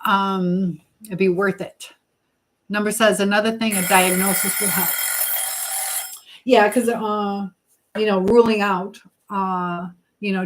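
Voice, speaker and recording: A woman's voice: mid-range voice, noisy background. A middle-aged woman hosting a casual live chat, talking directly to viewers and giving motherly advice. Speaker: livestream host.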